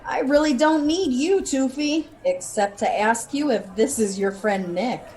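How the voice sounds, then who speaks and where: A woman's voice: sits high, a little slow, a little mellow. A woman playing a matter-of-fact police officer in an audio drama, direct and composed. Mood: composed, official. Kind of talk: scripted audio drama acting.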